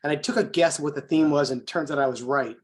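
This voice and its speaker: A man's voice: on the high side, fast. An American man hosting a conversational political interview, talking informally and working his way round to his questions.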